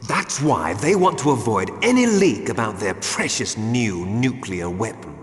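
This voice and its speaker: A cold terrorist leader in a video game. His voice is low and measured, with wide imperious swings in tone, echoing in a large hall. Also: big pitch swings. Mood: cold, resolute. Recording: echoey hall with long reverb.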